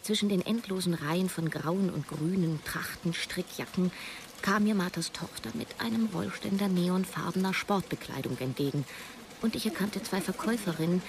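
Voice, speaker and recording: A woman's voice: on the low side, slight background noise, close to an everyday voice. An actress playing a torn but stubborn woman writer in her thirties, narrating a German radio play. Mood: reflective. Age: 30s. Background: music.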